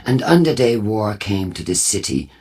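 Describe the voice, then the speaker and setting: Solemn war cult leader from a video game, husky, formal and portentous, pitch moves around a lot. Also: male voice, big pitch swings, normal speed, darkish tone. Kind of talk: scripted video game character dialogue.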